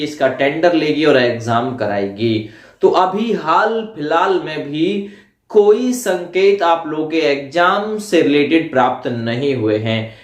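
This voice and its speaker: High-voiced man, roomy sound. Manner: repetitive, with frequent tag questions. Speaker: YouTuber giving exam updates. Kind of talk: informal explanatory update to viewers.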